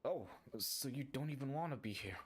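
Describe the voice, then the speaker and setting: A man's voice: medium pitch, animated, moderate pace, husky. A man streaming a game with casual, offhand commentary, half muttering to himself. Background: game music.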